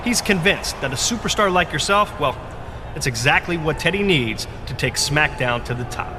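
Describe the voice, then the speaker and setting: A man playing a flattering, persuasive wrestling executive in a video game, talking in congratulatory tones. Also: fairly high pitch, moderate pace, noisy background, close to an everyday voice. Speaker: representative of a rival brand. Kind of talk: video game cutscene dialogue.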